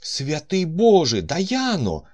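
A man voicing an excited young man who exclaims over a woman, then asks her uneasily to stop. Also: low-pitched, slow, breathy edge, full character voice. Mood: amazed.

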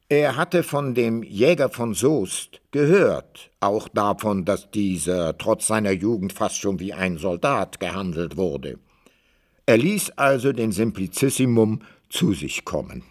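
Slow, low-voiced male storyteller. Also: expressive. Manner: measured, wry storytelling. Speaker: narrator. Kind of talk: scripted literary narration for radio drama.

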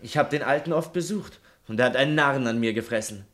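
A man's voice: medium pitch, fast, fairly bright tone, natural voice. An actor in a German radio play playing a clever, earnest, evasive young male pupil, speaking precisely and politely. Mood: earnest, insistent.

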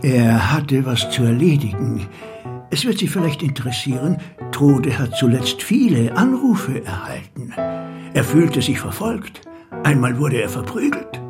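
An actor playing a mild, pious male monastery prior in a radio crime drama, measured and formal. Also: mid-range voice, very animated, music in the background. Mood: guarded. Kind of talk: radio play dialogue.

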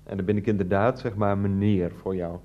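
A man's voice: fairly low pitch, fairly even intonation, brisk, highs cut off, close to an everyday voice. A man acting a whiny, self-justifying 39-year-old ex-teacher husband in a radio play, speaking hesitantly with padded phrases. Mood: opinionated, insistent.

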